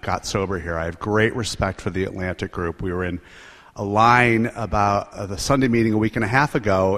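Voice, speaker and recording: A man speaking earnestly at a recovery meeting, sharing his story with lively asides. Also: fairly low pitch. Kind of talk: personal share at a meeting.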